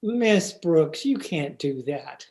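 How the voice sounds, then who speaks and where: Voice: mid-range voice, lively intonation, slow pace, creaky, darkish tone. An actor playing a mild, proper male schoolteacher, hesitant and formal, in an old-time radio comedy. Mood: doubtful. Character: mild, timid, proper male biology teacher. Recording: remote video-call recording.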